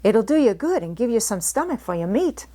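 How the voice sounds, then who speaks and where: A woman's voice: on the high side, big pitch swings, fairly quick, warm tone, pitched above the performer's own voice. A woman voicing a good-tempered, outspoken young housemaid who rattles on in homely dialect for a storybook. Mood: stout, brisk. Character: good-tempered, chatty young Yorkshire housemaid, plain-spoken country girl.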